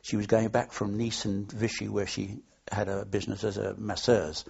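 A man's voice: fairly low pitch, flat delivery, normal speed, creaky, darkish tone, husky, dull audio. An older man from London, reminiscing at length in an interview, rambling with many hesitations. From London, England. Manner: rambling, anecdotal, frequent hesitations. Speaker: oral history interviewee. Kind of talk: reminiscing, unscripted.

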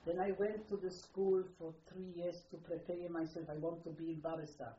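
An older woman from Poland with a Polish accent, speaking in an oral history interview. Her phrasing is halting and non-native, and she repeats words as she searches for them. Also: lowish voice, flat delivery, a little hiss or hum. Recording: muffled roomy archival tape.

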